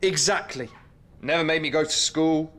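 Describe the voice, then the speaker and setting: An actor playing a young man sectioned in a psychiatric hospital, speaking haltingly and stammering under medication. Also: on the high side, a bit bright, close to an everyday voice. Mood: angry. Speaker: psychiatric patient. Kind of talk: radio play acting.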